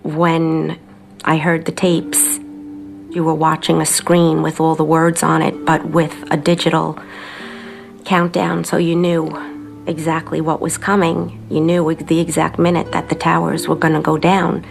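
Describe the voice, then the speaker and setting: Female interviewee, lowish voice with vocal fry, reflective, personal account. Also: completely flat delivery, unhurried. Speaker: documentary interviewee. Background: faint background music.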